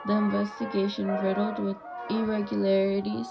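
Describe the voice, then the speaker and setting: A young woman with a gravelly creak co-hosts a scripted 1920s-style radio news show. Her pitch stays fairly flat while she delivers theatrical period slang, on a dull recording with music behind. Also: moderate pace. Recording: muffled lo-fi audio with music behind.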